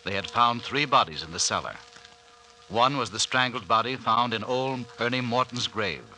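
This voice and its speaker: A man announces a vintage radio serial in formal, portentous tones. His mid-pitched voice is very bright and forceful, on an old recording with faint hiss. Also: animated, normal speed, rough recording. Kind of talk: 1930s-40s radio announcing, scripted narration.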